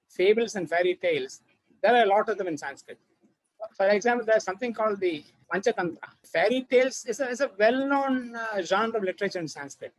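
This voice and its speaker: A man's voice: high-pitched, no room sound, rough recording. A man giving an informal, explanatory talk for children as an expert, often repeating and rephrasing his points. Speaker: expert author and researcher.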